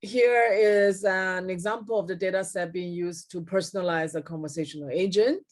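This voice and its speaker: A woman's voice: on the low side, fairly even intonation, moderate pace. Middle-aged woman lecturing with many fillers. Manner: frequent fillers and self-corrections. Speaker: keynote speaker. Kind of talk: technical lecture over slides. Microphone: webcam at desk, video call.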